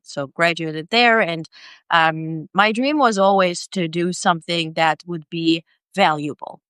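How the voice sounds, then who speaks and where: A middle-aged woman from Ukraine, speaking English as a second language, with a low and smooth voice. She talks as a guest on a video call and pauses often on fillers. The sound is dry and close. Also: a bit bright, dry recording. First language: Ukrainian or Russian. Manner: hesitant, many fillers. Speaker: independent journalist, guest. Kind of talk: interview conversation.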